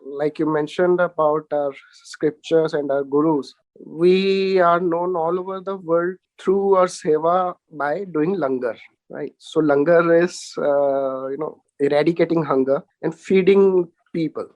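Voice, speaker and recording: A man takes part in a panel discussion as a guest. His voice sits slightly higher than average, and his style is conversational. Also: fairly even intonation, measured pace, darkish tone, low-quality recording. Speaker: panel guest, entrepreneur and volunteer.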